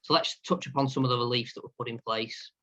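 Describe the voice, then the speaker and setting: A man with a mid-pitched, very flat voice delivers a steady, scripted business webinar update. The sound is dull and lo-fi, as over a video call. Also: fast talker. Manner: steady, reads prepared notes. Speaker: partner and insolvency practitioner.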